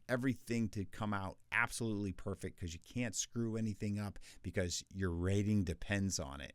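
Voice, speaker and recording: A man with a deep bass voice, chatting through freelance voiceover tips in a casual, conversational way. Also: no echo, studio quality. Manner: chatty, conversational, with restarts and asides. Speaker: voice actor teaching freelancers. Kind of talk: casual tutorial talk.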